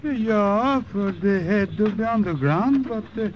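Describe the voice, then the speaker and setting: An actor playing a cautious older Norwegian underground leader, halting and dry, in an old radio drama. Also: male voice, sits high, animated, slight background noise. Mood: dry, measured. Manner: halting, slow, measured. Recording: dull old radio recording.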